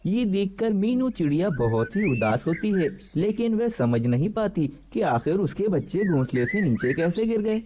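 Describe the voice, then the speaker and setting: A man's voice: sits high, warm tone, highs cut off, slight background noise. A man narrating a scripted children's story as the storyteller.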